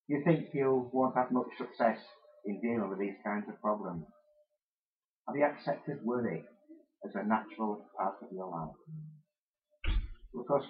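A man preaching a sermon, hoarse and losing his voice, clearing his throat often. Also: lowish voice. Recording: muffled phone-quality recording.

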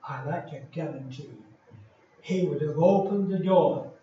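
An older man from England, a retired pastor, preaching in a slow, deliberate and emphatic way with many pauses. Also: on the high side, warm tone. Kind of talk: sermon. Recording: echoey hall from far off, dull lo-fi.

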